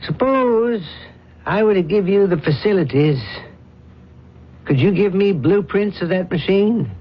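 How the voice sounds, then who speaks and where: An actor playing a commanding, deal-making millionaire father in a radio drama, with a hearty, brisk, bossy manner. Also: sits high, animated, husky, exaggerated voice. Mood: shrewd. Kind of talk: old radio drama acting. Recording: muffled, noisy old radio drama recording.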